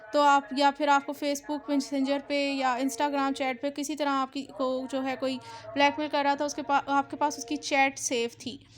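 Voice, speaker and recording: A woman with a Pakistani accent speaks in a high voice that stays within a very narrow range. She lectures like a law teacher, reading and explaining provisions, with English terms mixed into her sentences. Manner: mixes Urdu with English legal terms, repeats explanations.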